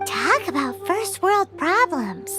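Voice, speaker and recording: Tiny cheeky game fairy: extremely high, squeaky, very animated childlike delivery. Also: female voice, slow pace, music in the background, full character voice. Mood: exasperated. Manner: exclamatory, cheeky, speaks of self in third person. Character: small childlike fairy companion, chirpy, cheeky, easily exasperated. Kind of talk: video game voice acting.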